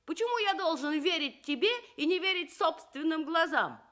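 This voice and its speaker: Woman voicing a grumpy, animated badger. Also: very high-pitched, moderate pace, voice pushed up. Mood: indignant. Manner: grumbling, indignant. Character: grumpy, stubborn male badger.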